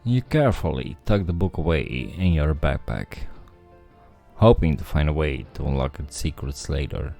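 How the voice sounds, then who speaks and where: A man's voice: extremely low pitch, expressive, normal speed, creaky, dark tone. A man streaming a game, thinking aloud and reading the story text himself. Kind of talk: casual game commentary with read-aloud narration. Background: faint music.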